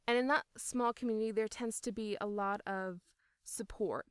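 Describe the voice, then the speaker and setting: Woman, medium-low voice, very clear and smooth, casual interview answers, emphatic, enthusiastic, close dry sound. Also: fairly even intonation, normal speed, no echo. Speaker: voice actor being interviewed.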